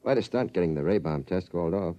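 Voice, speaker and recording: Deep-voiced, calm military doctor. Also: male voice, fast talker. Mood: sober. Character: calm, kindly military doctor, quietly curious. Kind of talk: 1950s radio drama acting. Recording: muffled 1950s radio recording.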